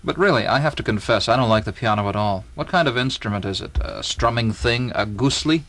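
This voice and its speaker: A man's voice: mid-range voice, fairly quick, a bit bright, natural voice. A man reading the part of a skeptical, grumbling army major, blunt and sardonic, in an informal dialogue reading.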